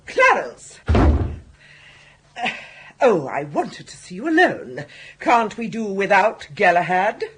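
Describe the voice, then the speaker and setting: A disapproving, upper-class English older sister in a radio comedy. Her voice is very husky and leaps about in pitch. She is brisk and haughty, every phrase a command. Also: big pitch swings, exaggerated voice. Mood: outraged, commanding. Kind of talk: BBC radio comedy drama acting.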